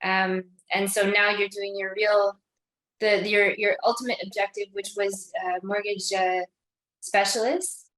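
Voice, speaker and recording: Flat-toned woman co-hosting a call. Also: medium pitch, a little slow. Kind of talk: casual chat on a call. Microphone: video call. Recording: echoey call audio.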